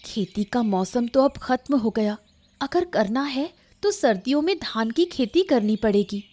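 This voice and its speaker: A woman's voice: fairly high pitch, expressive, measured pace, a little mellow. A woman voicing a blunt, practical village daughter-in-law in a cartoon story. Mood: practical, concerned.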